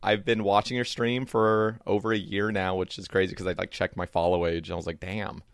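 A man's voice: sits low, level tone, fairly quick, vocal fry, clear, resonant tone, no echo, studio quality. A man hosting a podcast, chatting casually as he interviews a guest. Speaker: podcast host.

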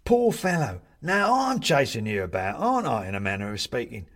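A man's voice: medium pitch, huge pitch swings, moderate pace, a bit bright. A man voicing a jovial, fussy china-figurine ghost in a storybook, chatty and hearty with old-fashioned British turns of phrase. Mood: cheerful, reassuring. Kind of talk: storybook character voice.

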